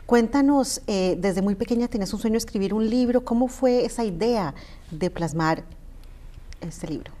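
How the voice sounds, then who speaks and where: A woman in her forties hosting a community TV talk show, interviewing guests in a small studio with brisk, encouraging questions. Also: medium pitch, dark tone. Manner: brisk, encouraging, many affirming ujús. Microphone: lapel mic.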